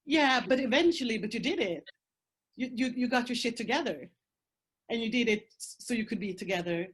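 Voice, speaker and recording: A woman's voice: a little high, expressive, fairly quick, slightly rough. Frank Swedish-accented woman on a video call. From Sweden. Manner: frank and emphatic, swears, laughs through hard topics. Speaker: panel guest. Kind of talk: candid conversational talk.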